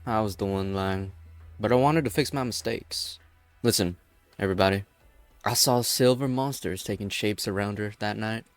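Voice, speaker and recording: A man's voice: on the low side, normal speed, clear, resonant tone, dry recording, faint music behind, natural voice. A man voicing a bitter, defiant leader of an armed group in a game fan dub. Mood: defiant, confessing.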